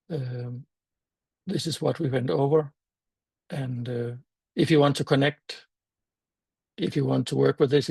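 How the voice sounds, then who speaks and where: Gravelly older man with a Danish accent. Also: medium pitch, a little mellow, dry recording. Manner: thoughtful, halting with fillers and restarts. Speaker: meetup speaker. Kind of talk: conference talk.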